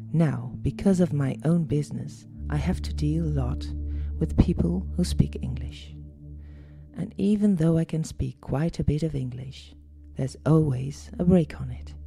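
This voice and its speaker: A woman with a Dutch accent, a coach and podcast host, speaking in a calm, careful, reflective solo monologue. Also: deep voice, vocal fry. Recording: soft music underneath.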